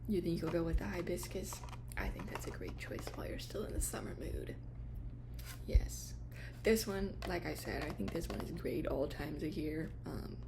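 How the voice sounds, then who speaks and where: A woman speaking in a hushed, hesitant, unscripted way as an ASMR host playing a shop clerk helping a customer. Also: deep voice, sing-song, normal speed, vocal fry, background noise. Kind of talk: ASMR shop roleplay, soft-spoken and whispered.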